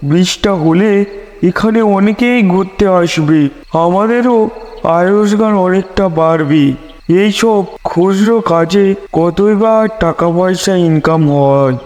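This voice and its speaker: A man plays a rustic boatman in a cartoon ghost story, grumbling in colloquial banter. The voice is high and slow, ringing with long reverb. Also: a little mellow. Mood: chatty, hopeful. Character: rustic village boatman, grumbling, money-minded. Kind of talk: cartoon horror story dialogue. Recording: long reverb, echoing space.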